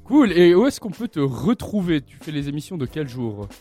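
A man's voice: on the high side, very animated, normal speed, dark tone, faint music behind. A young man hosting a live student radio show from a studio in a chatty, joking way.

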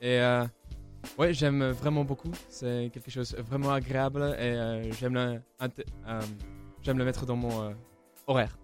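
Young man, flemish accent, native dutch speaker, hesitant, mid-pitched, faint music behind. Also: little pitch movement, moderate pace. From Belgium. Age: young adult. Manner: hesitant, many fillers. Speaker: student radio guest. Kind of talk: interview answers.

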